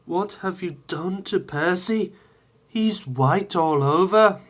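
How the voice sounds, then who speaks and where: A man's voice: high-pitched, slow pace, pitched above the performer's own voice. A man voicing a practical adult railway signalman who speaks matter-of-factly.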